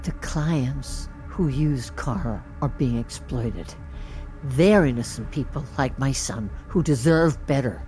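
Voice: medium pitch, takes it slowly, mellow, faint music behind, close to an everyday voice. A man playing a wary, principled parent in a naturalistic audio drama, morally serious about people being wronged. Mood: grave, resolved.

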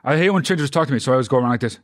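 A man's voice: mid-range voice, very fast, no echo. A man in his forties co-hosting a casual podcast, dry and sarcastic. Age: 40s. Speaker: podcast co-host. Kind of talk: casual chat.

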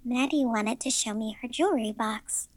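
A woman's voice: fairly high pitch, moderate pace, no echo, pitched above the performer's own voice, close to an everyday voice. A woman voicing an eerie, frightened six-year-old girl in a ghost story, with a childlike delivery. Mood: matter-of-fact, eerie.

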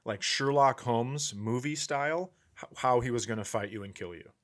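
A man's voice: mid-range voice, moderate pace, fairly bright tone. A man with a mid-range voice chats casually as a comedy podcast host. He teases and interjects with joking, sometimes profane asides.

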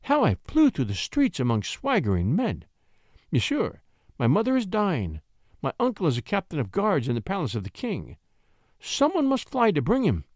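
A sly countess of a period storybook, acted by a male narrator, lifted in pitch and swooping widely. She coaxes and pleads with a breathy, cajoling manner, in a dry, close sound. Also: huge pitch swings, normal speed, no room sound. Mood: pleading, urgent. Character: scheming countess, sly, coaxing, feigning innocent distress.

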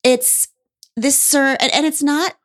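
A woman's voice: sits high, fairly even intonation, slow pace. A woman hosting a conversation who asks probing, reflective questions. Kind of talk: conversational interview.

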